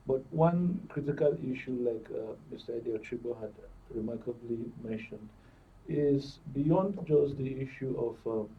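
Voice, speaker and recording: A Nigerian man with a husky, mid-pitched voice speaks at a little distance from the microphone. He gives formal, wordy analysis as a panel guest, setting out his points in a measured way. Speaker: studio guest, security commentator. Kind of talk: current affairs panel analysis. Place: broadcast studio panel. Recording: distant mic, dull muffled audio.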